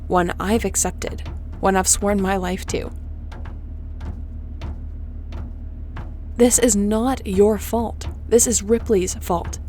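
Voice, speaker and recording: A woman's voice: medium pitch, a little mellow, lightly acted. A woman playing a tough, defiant starship captain in a sci-fi audio drama, speaking bluntly and with command. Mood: determined. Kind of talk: sci-fi audio roleplay acting. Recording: faint background noise.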